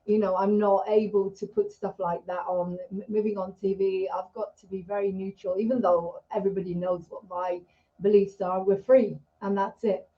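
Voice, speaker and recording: A woman's voice: mid-range voice, little pitch movement, normal speed. A woman raised in Israel hosting an interview over a video call, talking in an emphatic, rambling, heartfelt way. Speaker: host. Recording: dull lo-fi video call audio.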